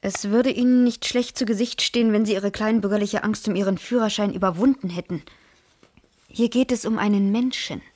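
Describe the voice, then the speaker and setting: An actress playing a direct, principled private detective, polite but pointed, in a radio crime play. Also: female voice, mid-range voice, brisk, a little mellow, natural voice. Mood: reproachful. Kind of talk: 1980s German radio crime play acting.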